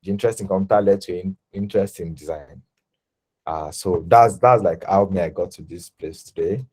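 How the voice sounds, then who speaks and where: Deep-voiced young Nigerian man, halting. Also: a little mellow, husky. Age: 20s. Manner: halting, filler-heavy, self-correcting. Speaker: designer guest. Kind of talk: casual interview talk.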